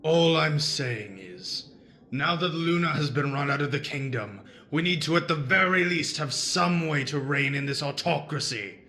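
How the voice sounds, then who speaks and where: A man's voice: a little high, animated, normal speed, bright, forceful tone. A man playing a scheming, persuasive pony agitator in a fan radio drama, with rhetorical delivery.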